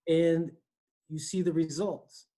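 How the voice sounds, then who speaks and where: A man in his forties with an East Coast American accent speaks on a video call from a room at home. His voice sits a little high for a man but sounds dark and mellow. He talks earnestly and keeps checking in with his listener. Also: takes it slowly. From Connecticut, US. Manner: earnest, animated, frequent "you know" and "right?". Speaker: guest, HR director. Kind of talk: conversational interview.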